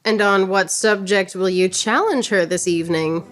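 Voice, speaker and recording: A woman's voice: mid-range voice, normal speed, a bit bright, lightly acted. A woman playing a witty, proud poet-soldier, speaking with wry, articulate delivery in a radio drama. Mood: guarded, curious. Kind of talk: theatrical radio drama acting. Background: music.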